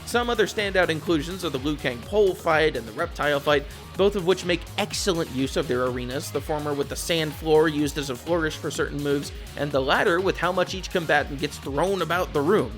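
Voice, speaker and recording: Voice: medium pitch, brisk, hardly any pauses, faint music behind. A video essayist reading a scripted film review in a casual, opinionated, sweary way.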